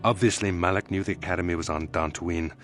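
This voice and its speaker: An actor playing a cold, sadistic admiral interrogator in a video game, speaking with formal, deliberate menace. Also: male voice, low voice, steady tone, faint music behind, natural voice. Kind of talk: dramatic video game villain acting.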